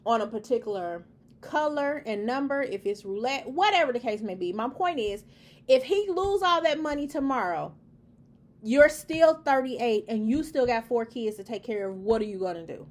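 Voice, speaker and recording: A woman's voice: on the high side, expressive, normal speed. A woman giving an opinion monologue, talking emphatically and repeating her points in a preachy, lecturing way. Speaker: commentary host.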